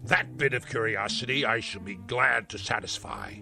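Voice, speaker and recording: An actor playing a spiteful, cruel old uncle who hurls theatrical insults, in a radio-style drama. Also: male voice, lowish voice, moderate pace, vocal fry. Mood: scornful. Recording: music score behind.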